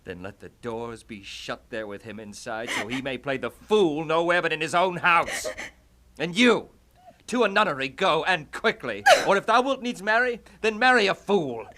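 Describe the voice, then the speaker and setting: A man playing a tormented, scornful young prince in classical Shakespearean style, with theatrical, deliberate delivery. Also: fairly high pitch, animated, pushed and bright. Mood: furious, scornful. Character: brooding, tormented young prince who turns scornful and furious. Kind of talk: classical Shakespearean stage acting.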